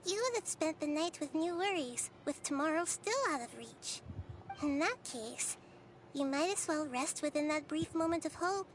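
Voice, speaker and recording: A woman voicing a serene, wise girl deity in a video game, soft, youthful and measured as she explains. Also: high-pitched, expressive, slight background noise, poor audio. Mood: gentle, reassuring. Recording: background music under the dialogue.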